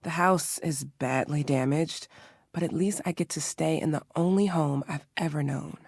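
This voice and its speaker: Low, solemn, heartfelt woman. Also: moderate pace, creaky, darkish tone, slightly rough, no room sound, studio quality, full character voice. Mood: relieved, grateful. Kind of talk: video game voice acting.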